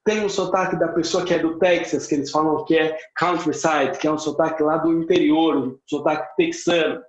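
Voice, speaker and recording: A Brazilian man with a Brazilian Portuguese accent and a rather high voice gives an emphatic teaching talk, repeating key points and slipping in English words. He sounds like he is in an echoey room, and the audio is a bit muffled. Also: steady tone, a little fast.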